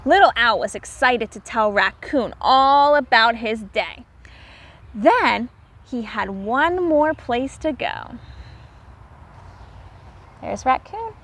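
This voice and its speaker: A woman teacher reading aloud to young children, pausing to explain words simply and repeating herself for clarity. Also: on the high side, animated, a bit bright.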